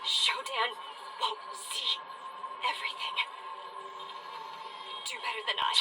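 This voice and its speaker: An actress playing a terrified, grieving space station survivor in a game audio log, halting and breathy. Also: female voice, fairly high pitch, flat delivery, distant mic, music in the background. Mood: weary warning.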